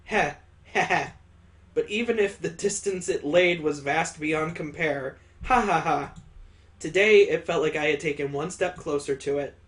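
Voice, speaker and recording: A man's voice: fairly high pitch, normal speed, a bit bright. A man hosting a gaming video, reading a visual novel aloud with sarcastic, irreverent joking asides.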